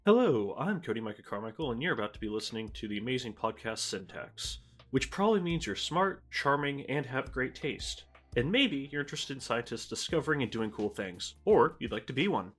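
A man's voice: mid-range voice, big pitch swings, fairly quick, few pauses, fairly bright tone, husky, faint music behind. A man giving a scripted promotional read as a science educator.

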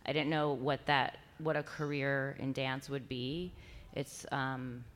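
A woman from New York speaking as a guest at a live panel. Her voice is quite low for a woman, and she keeps a dry, self-deprecating, matter-of-fact tone. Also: fairly even intonation, slow. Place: stage before a live audience.

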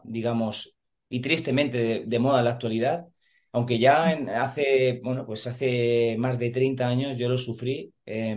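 A man in his forties from Madrid talks earnestly on a video call, stumbling through fillers and restarting his words. His voice sits at a mid pitch for a man. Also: a little mellow. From Madrid, Spain. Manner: hesitant, many fillers and repeated words, earnest. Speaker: podcast guest, police officer and emotional coach. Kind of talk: casual conversational interview.

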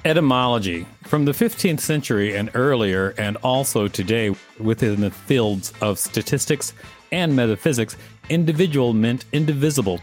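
Man co-hosting, dry and wry. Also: mid-range voice. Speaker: podcast co-host reading aloud. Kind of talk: reading an article aloud with light commentary.

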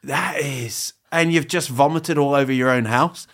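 An English comedian in his fifties talks about himself with a rambling, self-mocking flow. He keeps stopping and restarting, and slips in quick put-on voices for his jokes. His accent is English, with a faint New Zealand touch. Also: mid-range voice, a bit bright. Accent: English with a bit of New Zealand. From England. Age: 50s. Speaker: comedian, interview guest. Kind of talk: candid, self-mocking interview chat.

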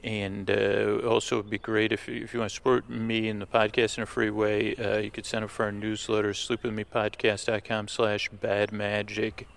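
A man with a creaky voice hosting a sleep podcast, giving low-key, rambling thanks and announcements to listeners. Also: completely flat delivery, moderate pace, hardly any pauses. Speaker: podcast host.

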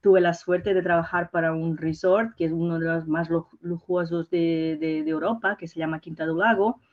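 A woman's voice: lowish voice, steady tone, normal speed, narrow, tinny audio. A native Portuguese woman with a Portuguese accent giving conversational interview answers as a podcast guest, effusive and searching for words. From Portugal, born in Mozambique. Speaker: podcast guest, hotel PR and social media director.

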